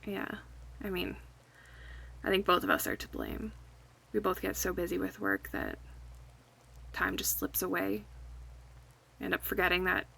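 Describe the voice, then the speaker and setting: A woman playing an affectionate, chatty friend in a casual, intimate ASMR roleplay, speaking softly and in a relaxed way. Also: on the low side, normal speed, gravelly, long pauses, slightly rough, exaggerated voice, low-quality recording. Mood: cozy, chatty. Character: affectionate young woman, cozy longtime friend hosting a night in. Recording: faint background ambience noise.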